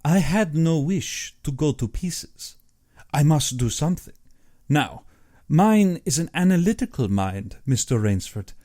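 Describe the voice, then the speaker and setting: A man acts out an older aristocratic general in an audiobook, urbane and boastful. The voice is mellow and swings widely in pitch, with slow, precise, pompous phrasing. He is a cold-blooded hunter who savours his own importance. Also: lively intonation. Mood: proud, self-assured. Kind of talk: audiobook character acting.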